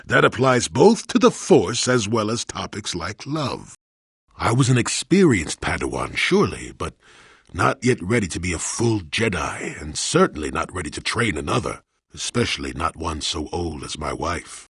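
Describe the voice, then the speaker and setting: A crotchety old male hermit from a sci-fi video game, low and gravelly. He grumbles in a folksy, sardonic way, his pitch rising and dropping freely as he needles whoever is listening. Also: very animated, normal speed, fairly bright tone, no echo, clean studio sound, exaggerated voice. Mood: reflective, wry. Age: older. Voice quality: gravelly, husky. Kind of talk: video game character acting.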